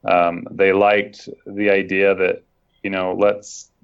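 A man with a fairly low voice speaks earnestly and reflectively as an interview guest. His audio is dull and lo-fi. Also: level tone, slow pace, smooth. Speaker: guest, online scam-baiter. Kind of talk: casual interview conversation.

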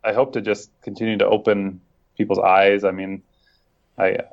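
A man with a fairly low voice speaks earnestly and reflectively as an interview guest. His audio is dull and lo-fi. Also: flat delivery, moderate pace. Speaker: guest, online scam-baiter. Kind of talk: casual interview conversation.

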